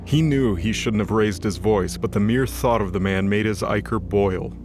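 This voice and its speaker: Male narrator for mythology audio drama, lowish voice, scripted dramatic read over clear music. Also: moderate pace. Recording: music under the voice.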